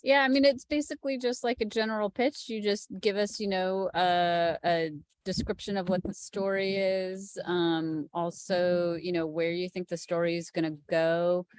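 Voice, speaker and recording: A woman's voice: fairly low pitch, fairly even intonation, normal speed, clear, resonant tone, no echo. A woman producer on a panel, explaining things informally and off the cuff in loose, casual phrases.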